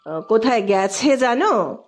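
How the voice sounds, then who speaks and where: A woman voicing a gentle, troubled married man, an office boss who talks softly and hesitantly, in an audio story. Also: fairly high pitch, animated, unhurried, soft background music. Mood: subdued, concerned. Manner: soft-spoken, hesitant.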